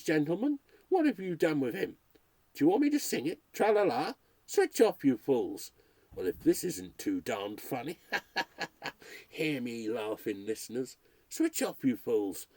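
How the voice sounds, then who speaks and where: A man's voice: a little high, a little mellow, no echo, pitched above the performer's own voice. A man reading aloud and voicing a male radio announcer alone in a haunted house, rambling nervously to his listeners as he cracks up. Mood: frantic. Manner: rambling, nervy chatter addressed to listeners. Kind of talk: read-aloud ghost story.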